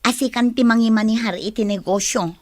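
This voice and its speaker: A woman's voice: a little high, a little fast, a little mellow, no room sound, clean studio sound, lightly acted. An actress playing a conniving woman in a radio drama, coaxing and goading her partner in a scheme.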